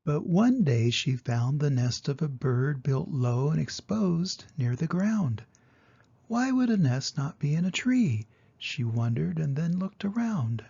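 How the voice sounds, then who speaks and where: An older man, a grandfather with a husky, gravelly voice, reading a children's storybook and gently explaining it to young listeners. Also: fairly high pitch, sing-song, a little slow, lo-fi sound.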